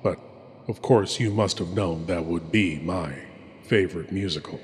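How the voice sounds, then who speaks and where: A man playing a sinister, suavely macabre horror host, speaking theatrically with dramatic, deliberate pauses in a scripted introduction. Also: deep voice, close to an everyday voice. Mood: macabre relish. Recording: long cavernous hall reverb.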